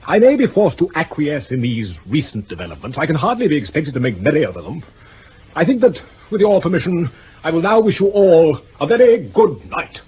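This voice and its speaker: Haughty jilted British aristocrat, clipped and formal, lightly pitched, swooping intonation, vintage radio drama. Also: male voice, sing-song, a little fast, a little mellow. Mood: haughty, stiff. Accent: upper-class British. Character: stiff, wounded, haughty English lord, jilted bridegroom. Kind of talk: 1950s-60s BBC radio drama acting. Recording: dull vintage radio recording, faint noise.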